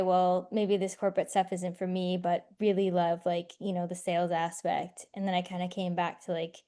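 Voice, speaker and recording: A woman's voice: fairly low pitch, darkish tone. A woman in her twenties from near Chicago, a guest author telling her story in a chatty, filler-heavy way on a podcast. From northwest Indiana, near Chicago. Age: 20s. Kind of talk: casual podcast interview.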